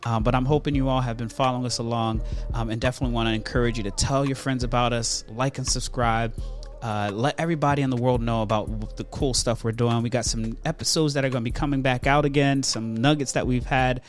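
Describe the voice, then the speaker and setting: A man's voice: mid-range voice, steady tone, fast, faint music behind. A man hosting a podcast, talking casually in informal slang as he banters and runs through topics. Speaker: podcast host.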